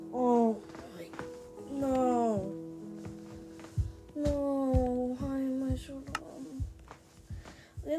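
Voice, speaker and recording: Woman, high voice, casual gaming commentary, rambling, thinking aloud, music playing clearly behind. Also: poor audio. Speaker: gaming video host. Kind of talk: casual let's play commentary. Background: background music.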